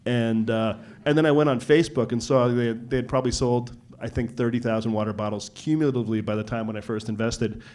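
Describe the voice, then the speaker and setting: A man speaking as an investor in a casual on-stage interview, halting and colloquial, often checking his point with the listener. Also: medium pitch, fairly even intonation, darkish tone.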